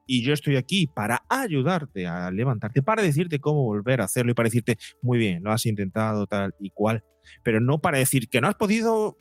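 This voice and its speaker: A man's voice: mid-range voice, dry recording, studio quality. A man speaking as an interview guest and psychologist, explaining in a conversational way and voicing imagined quotes to illustrate his points.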